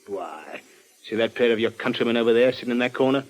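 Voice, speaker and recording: An actor playing a shifty British small-time crook, conspiratorial and goading, in an old-time radio drama. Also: male voice, medium pitch, expressive, fast, natural voice.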